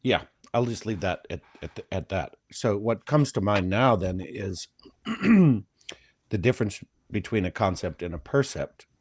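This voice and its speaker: A man from Michigan with a Midwestern accent, talking in a soft, easy voice and pausing often with ums. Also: on the low side, sing-song, husky, dry recording, clean studio sound. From Flint, Michigan. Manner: slow, hesitant, many ums. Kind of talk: casual discussion.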